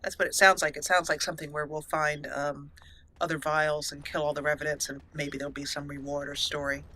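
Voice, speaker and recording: A woman's voice: low voice, flat delivery, brisk, pushed and bright, a little hiss or hum. A woman hosting a let's play, chatting through her gameplay in an upbeat way and reading the game's text aloud as roleplay narration. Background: faint game music.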